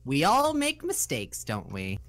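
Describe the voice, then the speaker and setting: Woman playing a slow, flippant young heroine. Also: very deep voice, wildly swooping pitch, fairly bright tone, full character voice. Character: breezy, clumsy, defiant young woman. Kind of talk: improvised comedy cartoon fandub.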